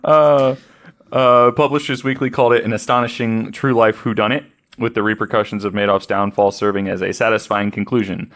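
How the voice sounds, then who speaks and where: A man's voice: on the low side, steady tone, fairly quick, a bit bright. A man hosting a podcast, reading researched notes aloud in an informal, conversational way. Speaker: podcast host.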